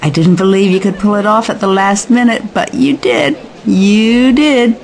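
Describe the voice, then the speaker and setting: A woman's voice: mid-range voice, a little slow, gravelly, darkish tone. A woman playing an upbeat, confident event organiser in an audio drama, breezy and encouraging. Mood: pleased, breezy.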